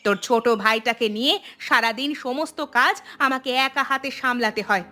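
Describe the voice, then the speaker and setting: A woman's voice: a little high, fairly quick, pushed and bright, soft background music. A woman voicing an exasperated village mother in a cartoon, scolding and complaining about her mischievous children. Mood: reproachful. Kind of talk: Bengali cartoon voice acting.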